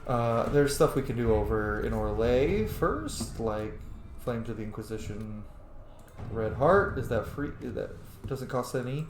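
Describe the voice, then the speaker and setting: A man's voice: mid-range voice, lively intonation, measured pace. A man giving casual, joking and sometimes profane commentary while playing a roleplaying game. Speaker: game player and host of a roleplay playthrough. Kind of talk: casual gameplay commentary, reading in-game messages aloud. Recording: roomy echo, faint background noise.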